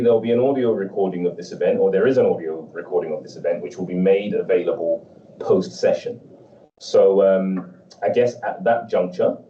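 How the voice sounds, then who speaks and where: Deep, mellow man moderating a call. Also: slight background noise. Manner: measured, conversational, fond of "right?". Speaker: panel moderator. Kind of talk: hosting an online panel. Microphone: video call. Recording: dull, distant, roomy call audio.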